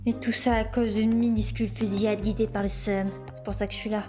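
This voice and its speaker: A woman playing a resentful, foul-mouthed damned woman bent on revenge in an animated comedy fan dub. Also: mid-range voice, a little fast, natural voice. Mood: bitter. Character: bitter, vengeful damned woman in hell. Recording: music behind the voice.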